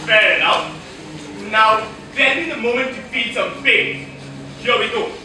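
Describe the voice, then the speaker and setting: A man's voice: extremely high pitch, measured pace. A young man acting a genial, theatrical doctor with jovial patter in an amateur stage comedy. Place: college auditorium stage, recorded from afar. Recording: echoey auditorium, distant mic, noisy.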